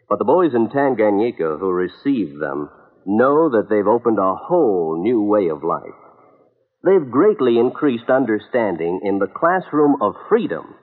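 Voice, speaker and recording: An American man reading a scripted 1960s public service radio piece in an earnest, measured announcer style. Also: fairly low pitch. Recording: muffled reverberant old radio recording.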